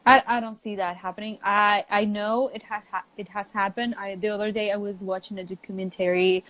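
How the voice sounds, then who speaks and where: A woman's voice: mid-range voice, fairly even intonation, fairly quick, fairly bright tone, rough recording. A native Spanish-speaking woman with a Mexican accent, an English teacher chatting casually and thoughtfully as a guest on a live stream. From Mexico, near the US border.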